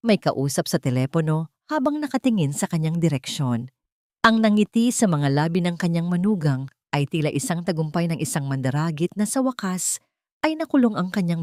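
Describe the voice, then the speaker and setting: A woman's voice: low-pitched, darkish tone, no room sound, clean studio sound. A woman storyteller reading scripted, dramatic narration for an audio story.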